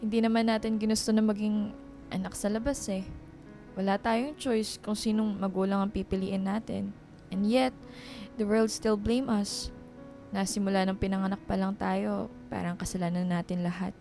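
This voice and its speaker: A woman's voice: medium pitch, flat delivery, moderate pace, mellow, faint music behind, close to an everyday voice. A young woman playing a wounded, brooding daughter in a radio drama, plaintive and conversational, mixing in some English. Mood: aggrieved.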